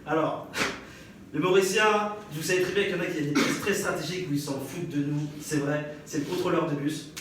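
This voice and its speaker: A young French-accented man tells stand-up stories, trading banter with the crowd and breaking into laughter. His voice sits a touch high and reaches the mic from a distance. A very echoey live room with clear audience noise surrounds him. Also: steady tone, fairly quick. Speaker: stand-up comedian. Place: live comedy stage before an audience.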